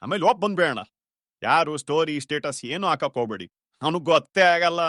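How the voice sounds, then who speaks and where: A man's voice: on the high side, expressive, fast talker, a bit bright, dry recording, clean studio sound. A man voicing a bossy, selfish young friend in a cartoon story, slangy and dismissive. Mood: scheming scorn. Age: young adult. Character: brash, selfish young ringleader of college friends. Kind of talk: cartoon short story dialogue.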